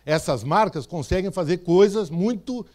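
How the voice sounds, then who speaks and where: A man's voice: high voice, moderate pace, darkish tone. A man with an accent from interior São Paulo state, giving a stage talk to an audience in a conversational way, often checking in with the crowd. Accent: Brazilian Portuguese, interior São Paulo state. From Brazil. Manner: conversational, with frequent "tá certo?" and "né?" check-ins. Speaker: speaker. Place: event stage before a live audience.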